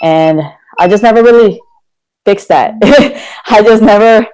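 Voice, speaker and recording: A man's voice: sits high, measured pace, darkish tone, clean tone, low-quality recording. A young man from Korea, a guest talking candidly and energetically in a casual podcast interview. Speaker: guest, motivational speaker.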